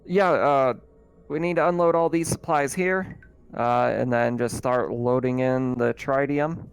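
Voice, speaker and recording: A man running a tabletop roleplaying game as game master, narrating casually in a halting, improvised way. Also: medium pitch, gravelly, smooth. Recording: faint music behind the voice. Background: faint music.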